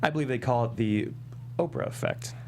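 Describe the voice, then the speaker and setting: A man's voice: mid-range voice, fairly quick, a little mellow, slight background noise, natural voice. A man at a script table read playing a smug courtroom attorney who puts pointed, skeptical questions. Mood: smug, pressing.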